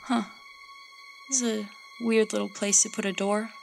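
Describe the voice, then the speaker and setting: A woman's voice: medium pitch, flat delivery, gravelly, background noise, close to an everyday voice. A woman acting in a horror audio drama as a frightened, hesitant woman, with music under her voice. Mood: haunted recounting. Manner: halting, hesitant delivery. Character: frightened woman recounting a disturbing experience, searching for her dog. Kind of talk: horror audio drama, narration and acted scenes.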